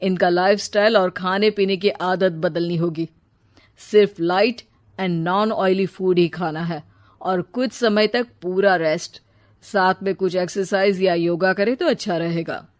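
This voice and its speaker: Woman acting a sober, slow-spoken doctor. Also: lowish voice, steady tone, lightly acted. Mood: serious, advising. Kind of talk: storybook character voice.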